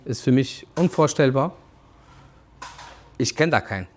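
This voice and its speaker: A man's voice: mid-range voice, expressive, measured pace, darkish tone. A young man from Syria, a shop owner and referee, speaking reflectively in a documentary interview with fillers and restarts.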